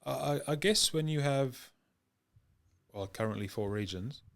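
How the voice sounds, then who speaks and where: A man's voice: mid-range voice, lively intonation, a little slow, creaky, fairly bright tone. A young man from Wales with an accent mixing Welsh and New Zealand, answering podcast questions hesitantly with many ums and restarts. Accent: mixed Welsh and New Zealand. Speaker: guest, professional rugby player. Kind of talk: podcast interview.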